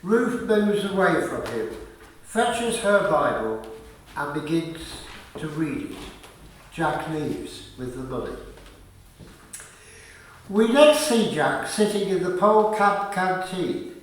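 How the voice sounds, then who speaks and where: A man's voice: a little high, animated, slow, long pauses, slight background noise. A man reading scripted narration for a radio play. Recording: very echoey room, far from mic.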